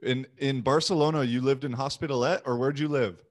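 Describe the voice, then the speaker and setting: A man's voice: medium pitch, steady tone, a little fast. A man in casual conversation, chatty and informal, peppering his talk with filler words like "like" and "you know". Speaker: youth sports coach and language learner.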